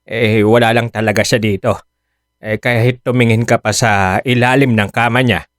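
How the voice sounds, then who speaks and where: A man's voice: medium pitch, steady tone, moderate pace, dry recording, studio quality, lightly acted. Man playing mild, apologetic older Filipino father. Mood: grateful, sheepish. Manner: hesitant, pleading, starts with "eh". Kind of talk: Filipino radio drama acting.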